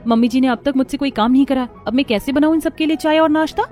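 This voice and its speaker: Woman as timid, high-voiced young bride. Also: fast, darkish tone, faint music behind, lightly acted. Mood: worried. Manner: fretful, apologetic. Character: timid, anxious young newlywed bride, fearful of in-laws. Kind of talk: Hindi moral cartoon story dialogue.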